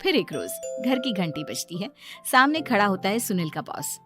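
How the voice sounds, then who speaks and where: A woman's voice: mid-range voice, big pitch swings, moderate pace, music in the background. A woman reading a scripted story as its narrator.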